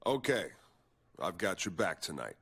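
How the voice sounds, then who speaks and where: A man's voice: low voice, very animated, normal speed, fairly bright tone, breathy edge, heavily acted. An actor voicing a cold, terse male wrestler in a video game cutscene, speaking in short clipped lines. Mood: curt.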